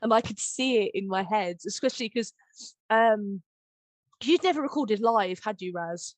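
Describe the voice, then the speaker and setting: Animated, giggly woman in casual chat. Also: mid-range voice, normal speed, no room sound. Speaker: podcast host, audio drama director. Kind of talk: casual chat, behind-the-scenes discussion.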